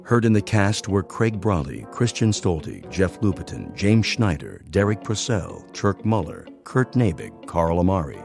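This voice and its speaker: Deep-voiced male announcer over music. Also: lively intonation, vocal fry, darkish tone. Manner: formal, measured. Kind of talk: scripted radio credits announcement.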